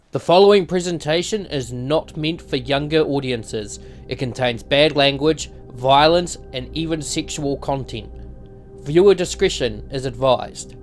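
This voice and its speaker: A man reading scripted narration as the announcer and narrator of a visual novel. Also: a little high.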